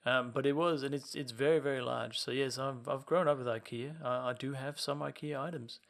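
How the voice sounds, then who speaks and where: Podcast co-host, man, gravelly mid-pitched voice, talkative explainer. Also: fairly even intonation, a little fast, a bit bright. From Denmark. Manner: chatty, explains at length with restarts. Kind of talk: casual chat.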